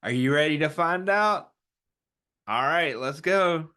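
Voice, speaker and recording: A man's voice: fairly high pitch, expressive, takes it slowly, sharp tone, clean tone. A man hosting a children's story time, reading aloud and chatting to young listeners in an encouraging way, often asking questions and answering them himself.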